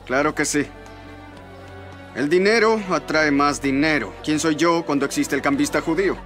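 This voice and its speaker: A man's voice: fairly high pitch, moderate pace, fairly bright tone, soft background music, natural voice. An actor dubbing a chatty male merchant who gossips and boasts. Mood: gossipy. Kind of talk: Spanish dub of a historical series.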